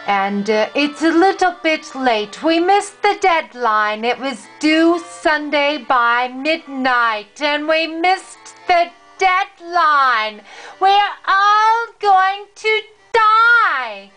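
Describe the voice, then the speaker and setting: An older woman with a high voice reads a children's storybook aloud with music behind her. Her delivery is deliberate and dramatic, swinging widely in pitch. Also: lively intonation, bright, forceful tone. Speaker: storyteller reading to a grandchild.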